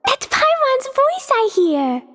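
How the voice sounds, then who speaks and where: An innocent little game girl, extremely high and childlike. She speaks slowly and earnestly with dramatic anxiety, recorded close and dry. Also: wildly swooping pitch, fairly bright tone, no room sound, heavily acted. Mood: tempted, earnest. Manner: childlike, earnest, dramatic, refers to herself by name. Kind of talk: scripted video game character acting.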